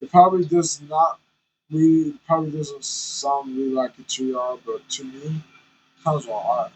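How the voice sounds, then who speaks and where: A man's voice: mid-range voice, steady tone, normal speed, a little mellow, breathy edge, low-quality recording. A man vlogging candidly from the cab of his semi truck, rambling and self-conscious as he chats to viewers. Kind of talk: casual chat while driving.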